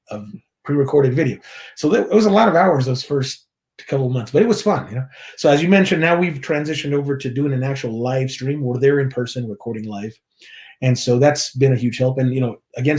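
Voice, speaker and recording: A man's voice: mid-range voice, darkish tone. Male guest from Mexico, church worship director, mid-range voice, chatty and laugh-prone. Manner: chatty, laughs often, frequent restarts. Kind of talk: casual interview chat.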